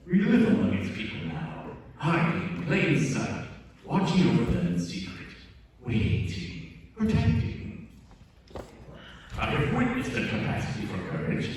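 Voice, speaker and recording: A man's voice: mid-range voice, a little mellow. A man voicing a noble, solemn heroic robot leader from space in a dramatic monologue, recorded in an echoing hall. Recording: echoing convention hall, distant microphone, faint noise.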